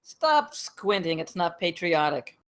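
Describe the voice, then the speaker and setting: A woman's voice: fairly low pitch, big pitch swings, takes it slowly. Woman playing a blunt, commanding security captain. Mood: curt. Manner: blunt, pompous. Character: brash, commanding female campus security captain, ex-military. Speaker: actor in a screenplay table read. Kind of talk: comedy script read aloud.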